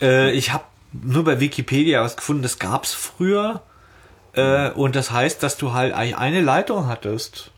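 A man with a mid-pitched, slightly husky voice talks as a podcast host. He retells and discusses a story in casual, colloquial language, as in a relaxed chat. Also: moderate pace.

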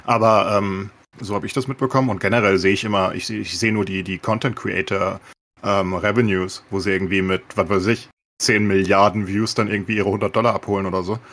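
Low-voiced German man in casual chat. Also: steady tone, brisk, a bit bright. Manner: informal, mixes English gamer slang into speech. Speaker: podcast co-host.

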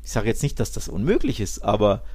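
A man's voice: lowish voice, very animated, dark tone, slight background noise. Man reasoning aloud on a podcast. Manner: measured, reasoning aloud with fillers. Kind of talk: casual podcast chat.

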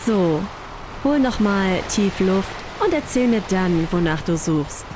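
A woman's voice: medium pitch, animated, background noise. A woman playing a self-assured, flirtatious woman in a science-fiction radio play, teasing and wry. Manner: wry, unhurried. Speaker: voice actor in an audio drama.

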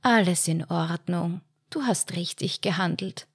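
A woman's voice: medium pitch, animated, a little slow, no room sound, studio quality. A woman with an Austrian accent voicing an older mother who fusses over her grown son with loving sternness. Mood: fussing concern. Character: older mother, tender but firm, fussing.

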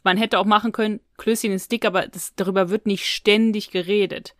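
A woman's voice: medium pitch, steady tone, a little fast, dry recording, studio quality. A woman with speech co-hosts a casual podcast, giving her opinions in an emphatic, colloquial way. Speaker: podcast co-host.

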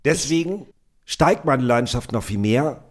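A man's voice: mid-range voice, lively intonation, unhurried. A man co-hosting a podcast in casual chat, playful and enthusiastic. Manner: playful, enthusiastic, throws in English phrases. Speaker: podcast co-host.

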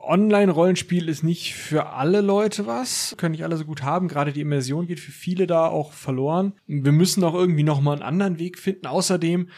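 Higher-voiced man explaining casually. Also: fast talker, few pauses, darkish tone. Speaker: podcast guest, role-play creator. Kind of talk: casual explanatory interview talk.

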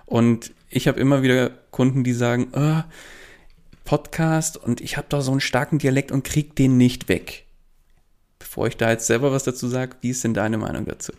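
A man hosting a podcast, asking his guest friendly questions in a relaxed interview. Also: medium pitch, normal speed. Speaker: podcast host.

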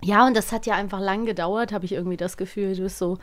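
A woman co-hosting a casual chat, talkative and quick to react. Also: medium pitch, fairly even intonation. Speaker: podcast co-host.

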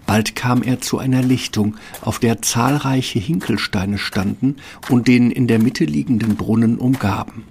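A man's voice: mid-range voice, measured pace, creaky, darkish tone, a little hiss or hum. A man narrating a fairy-tale radio play in a scripted storytelling style.

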